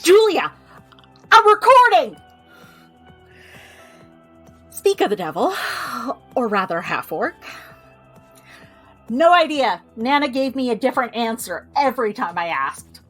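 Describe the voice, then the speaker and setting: A woman's voice: high-pitched, sing-song, unhurried, long pauses, a bit bright, faint music behind, full character voice. An actress playing a chatty, wry young American woman who runs a magical family clinic, in an audio drama. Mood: exasperated, teasing. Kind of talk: audio drama, recording a personal log.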